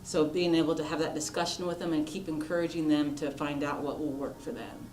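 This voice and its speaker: A woman speaking in a measured, earnest way, giving thoughtful interview answers. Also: low voice, steady tone, a little mellow. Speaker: interviewee on mental health and diversity. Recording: roomy echo, music underneath. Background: background music.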